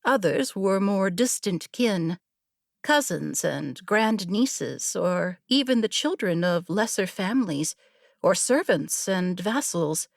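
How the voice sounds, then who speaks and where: A woman narrating a scripted story as an audiobook, in a measured, literary storytelling manner. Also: medium pitch, expressive, creaky, no room sound, clean studio sound.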